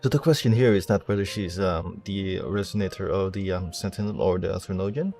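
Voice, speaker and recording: A man with a fairly low voice streams a game and comments casually as it goes. He is hesitant and rambles through his thoughts, with game music faintly behind him. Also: a little fast, darkish tone. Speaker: gaming streamer. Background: game music and dialogue.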